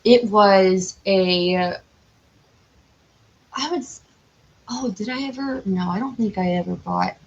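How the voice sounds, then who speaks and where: A woman's voice: medium pitch, takes it slowly, pauses often. Chatty woman guest, slightly dull recording. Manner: chatty, self-described loud and exuberant. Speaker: podcast guest, cosplayer. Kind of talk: casual chat.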